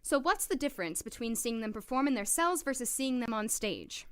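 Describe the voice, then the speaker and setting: A woman's voice: on the high side, expressive, a little fast, fairly bright tone, clean tone. A woman playing a cool, sceptical, self-assured young doctor in an audio drama, dryly polite and clipped. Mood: curious, reserved.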